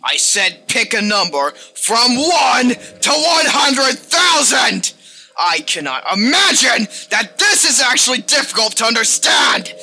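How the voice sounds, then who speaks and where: A man voicing a sinister, contemptuous disembodied game narrator, delivered in a condescending, theatrical and deliberate way. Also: very high voice, creaky, sharp tone, pitched above the performer's own voice, full character voice. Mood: furious shouting. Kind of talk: dramatic reading of a text-based game.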